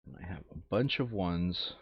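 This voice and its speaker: Low-voiced man muttering, dry dull recording. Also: expressive, normal speed, slightly rough, no echo. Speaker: player setting up a co-op board game campaign. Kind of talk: casual gaming chat, thinking aloud.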